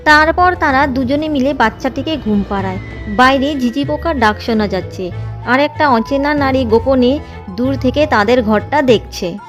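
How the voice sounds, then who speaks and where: A woman narrating a cartoon moral story in a steady, gentle way, with faint music behind. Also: sits high, moderate pace. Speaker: narrator and voice of Seema.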